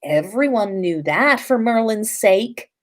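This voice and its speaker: Female reader as haughty schoolgirl witch in a story, imperious and curt, widely varied pitch. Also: animated, slow pace. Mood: haughty, exasperated. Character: snobbish, imperious teenage pureblood witch girl.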